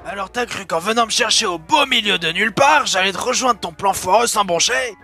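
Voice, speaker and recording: A grouchy, swearing demon barman voiced by a man in a cartoon fan dub, sarcastic to the bone. The voice is gravelly and rough-edged, pitched rather high, with a sharp, tense bite. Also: fairly quick, faint music behind, heavily acted. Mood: grumpy. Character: grumpy foul-mouthed male cat demon barman.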